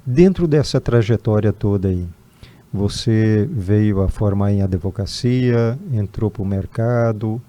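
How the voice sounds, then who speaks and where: A man's voice: fairly low pitch, takes it slowly, dark tone. A man hosting an interview, asking long formal questions and giving brief murmurs of agreement. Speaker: interviewer, host.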